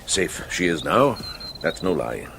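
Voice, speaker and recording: Very deep male voice, kindly older monk, measured, gentle, medieval radio drama. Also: sing-song, gravelly, a little hiss or hum, full character voice. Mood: calm, grave.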